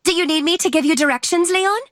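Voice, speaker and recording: A woman's voice: extremely high pitch, fast, a bit bright. A woman voicing a peppy, friendly young female professor for a video game, with a cheerful, teasing manner. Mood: bright, cheerful. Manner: cheerful, exclaiming.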